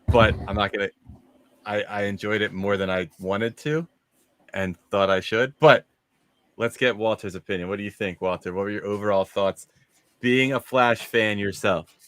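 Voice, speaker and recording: A man's voice: lowish voice, normal speed, a bit bright, no room sound. A man hosting a casual panel discussion about movies, steering the conversation. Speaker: host of a movie discussion show.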